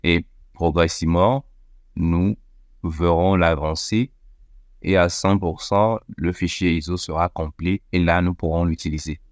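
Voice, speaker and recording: A man with a very low voice walks through a computer tutorial one click at a time. He speaks methodically, pausing on fillers as he goes. The sound is dry and close, with no room echo. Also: steady tone. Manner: step-by-step, methodical with frequent fillers. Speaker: tech tutorial presenter. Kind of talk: screen-recorded software walkthrough.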